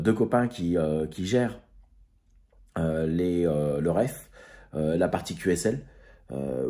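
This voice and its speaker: A man's voice: lowish voice, dark tone. A man hosting an amateur radio channel, talking in a casual, rambling way with many hesitations and restarts. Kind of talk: casual spoken vlog recap.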